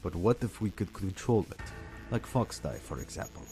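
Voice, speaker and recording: An actor playing a smug, calculating villain who lectures and taunts in a measured way in a radio drama. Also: male voice, sits low, lively intonation, warm tone, faint music behind. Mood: taunting. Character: smug, calculating male villain plotting with viral weapons.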